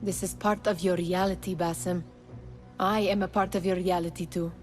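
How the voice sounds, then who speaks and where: A woman's voice: on the low side, steady tone, moderate pace, darkish tone, faint music behind, lightly acted. An actress playing a haunting woman from someone's past in a video game, probing and insistent. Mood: confronting, wounded. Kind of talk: dramatic video game acting.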